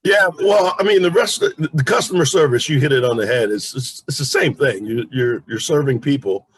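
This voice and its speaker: A man's voice: medium pitch, a little fast, slightly rough, poor audio. A man hosting a casual interview, speaking in a conversational way as he reacts and asks questions. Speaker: interviewer, host.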